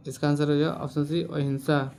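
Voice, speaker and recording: A man's voice: a little high, level tone, a little fast, a little mellow, slight background noise. A man teaching as a quiz host, reading out questions and answers in an instructional way and repeating the answers. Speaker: quiz teacher for exam preparation.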